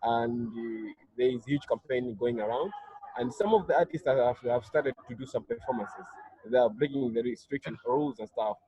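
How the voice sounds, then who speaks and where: A young man with a Malawian accent, speaking as a guest in an interview in a soft, hesitant way over a video call. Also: mid-range voice, moderate pace, husky, no echo, highs cut off. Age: young adult. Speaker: guest artist.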